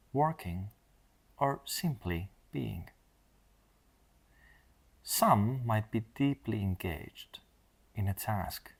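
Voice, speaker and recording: Very slow, low-voiced man guiding meditation. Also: a little mellow. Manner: soothing, measured, with long pauses between short phrases. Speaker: meditation guide. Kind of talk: guided meditation, scripted.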